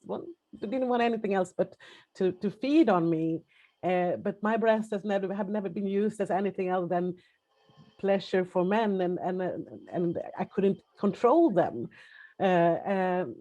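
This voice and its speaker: A woman with a Swedish accent, a native Swedish speaker giving personal testimony as a webinar panelist over a video call, halting and hesitant, with many restarts. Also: lowish voice, warm tone.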